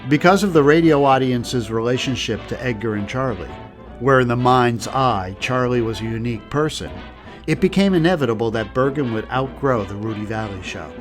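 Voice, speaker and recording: A man giving scripted documentary narration in an even, informative way. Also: mid-range voice, normal speed. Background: music.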